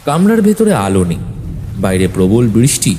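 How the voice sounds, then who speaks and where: A man narrating a first-person horror story in a suspenseful, expressive, dramatic way. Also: fairly low pitch, moderate pace. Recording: faint background noise.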